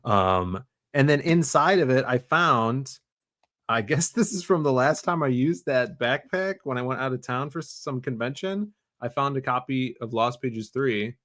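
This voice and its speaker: A man's voice: medium pitch, animated, measured pace, fairly bright tone, dry recording, studio quality. A man co-hosting a casual comics podcast, talking in a laid-back way and letting his sentences trail off. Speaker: podcast co-host, comic artist. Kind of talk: casual chat.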